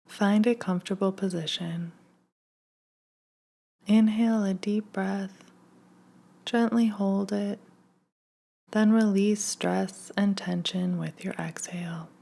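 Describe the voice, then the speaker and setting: A woman leading a scripted guided meditation in a gentle, hushed, soothing manner, leaving long pauses. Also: mid-range voice, fairly even intonation, creaky.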